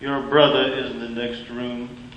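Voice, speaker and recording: An actor playing a calm doctor in a radio drama, speaking in a hushed voice. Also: male voice, medium pitch, a little slow, dull audio, natural voice. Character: calm doctor, grown man. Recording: echoey, faint hiss.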